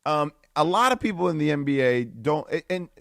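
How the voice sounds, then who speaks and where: Brief: male radio co-host, animated swooping delivery, dry close mic, rambling explainer. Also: fairly high pitch, a little fast, creaky, no room sound. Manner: rambling, explains at length, many restarts. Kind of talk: sports talk radio analysis. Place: radio studio. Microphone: studio microphone.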